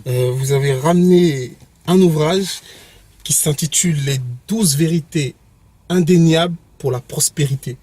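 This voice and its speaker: Mellow husky man interviewing. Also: fairly high pitch, measured pace, no echo. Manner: affirming, frequent amens.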